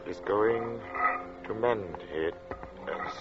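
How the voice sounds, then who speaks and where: An actor playing a brooding, oppressed man narrating his journal in a 1940s radio drama, halting and ominous. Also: on the low side. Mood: grim, halting. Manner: slow, ominous, halting delivery with dramatic pauses. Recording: dull vintage radio recording with loud music.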